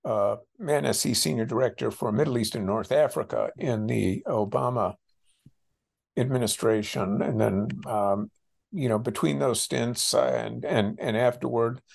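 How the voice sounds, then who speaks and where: Older american guy, super gravelly fry, hesitant and circling back, academic answering interview questions. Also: medium pitch. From United States. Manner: halting, hesitant, circling back over phrases. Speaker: interviewed author, scholar and former policy official. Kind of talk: reflective interview talk.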